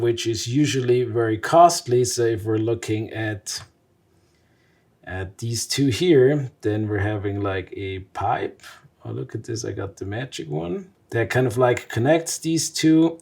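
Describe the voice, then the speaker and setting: A man in his thirties from Switzerland presenting a casual tech explainer, conversational and thinking aloud as he sketches. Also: medium pitch. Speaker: vlog host and tech presenter. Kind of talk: casual whiteboard explainer to camera. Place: small home studio indoors.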